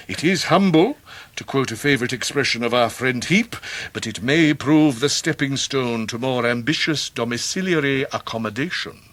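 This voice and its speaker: Pompous victorian english clerk, flowery radio drama talk, voice goes all over the place, dry studio. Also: male voice, mid-range voice, a bit bright, no echo. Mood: grandly genial. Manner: grandiloquent, theatrical, orotund. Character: pompous, flowery, shabby-genteel middle-aged Victorian gentleman clerk.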